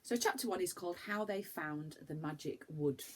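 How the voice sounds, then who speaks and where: A woman reading a children's story aloud like a primary school teacher, with animated, dramatic emphasis for young listeners. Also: fairly low pitch, moderate pace, a little hiss or hum.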